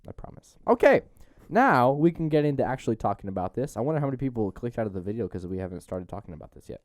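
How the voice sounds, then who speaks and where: A man's voice: mid-range voice, big pitch swings, quick pace, no echo, clean studio sound. A young man hosting a podcast in casual, jokey chat, often breaking into laughter. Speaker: podcast host.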